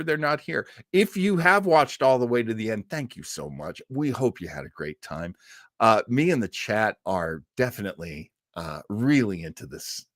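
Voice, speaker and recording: A man in his sixties with a very animated, swooping delivery hosts a casual livestream. He rambles enthusiastically and effusively, and the sound is close and dry. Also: medium pitch, no echo. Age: 60s. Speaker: host of an online show.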